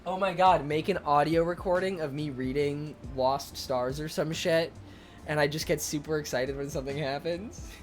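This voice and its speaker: A young man streaming, chatting casually and excitably with viewers, rambling with lots of fillers. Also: a little high, normal speed, soft background music. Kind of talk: casual chat reading viewer comments.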